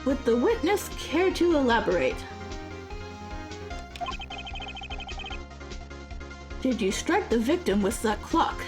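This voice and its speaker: A woman puts on an elderly male judge from a courtroom game, stern and formal. The delivery is measured and commanding, with a high-pitched, lively voice. Game music plays clearly behind it. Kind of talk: reading visual novel game dialogue aloud.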